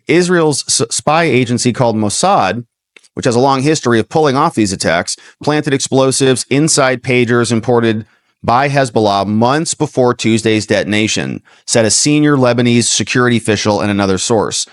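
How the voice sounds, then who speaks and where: A man's voice: mid-range voice, no room sound, clean studio sound. A man hosting a livestream, giving informal, slangy news commentary and reading articles aloud.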